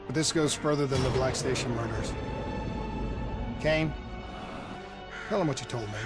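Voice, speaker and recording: A man's voice: mid-range voice, normal speed, over loud music, natural voice. A man playing an earnest, resolute soldier in a video game cutscene, serious and plainspoken. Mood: sober, determined.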